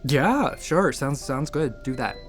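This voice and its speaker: Male, irritable sci-fi doctor-commander, wry and rambling, middle pitch, music bed. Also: lively intonation, slow. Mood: skeptical. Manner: wry, sarcastic, exasperated, talkative. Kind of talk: naturalistic sci-fi audio drama acting. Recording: audio drama with music underneath.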